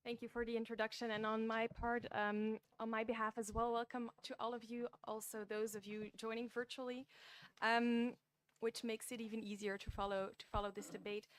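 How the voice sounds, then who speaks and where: A woman's voice: fairly high pitch, flat delivery, normal speed, clean tone. A young woman from Belgium moderating a panel debate at a podium, speaking friendly English with many ums and restarts. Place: conference room at a podium. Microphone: podium microphones.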